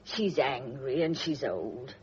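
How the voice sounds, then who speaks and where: Low raspy female voice, grumbling old-fashioned servant, swooping intonation, muffled radio play. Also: animated, takes it slowly, full character voice. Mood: brooding, indignant. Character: grumbling, foreboding female household servant, loyal and blunt. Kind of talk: radio drama acting. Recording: dull, band-limited radio drama recording.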